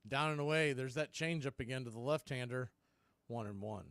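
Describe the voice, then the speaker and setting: A man calling live baseball play-by-play on the radio, in a brisk, conversational way that tracks every pitch and count. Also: a bit bright, no room sound.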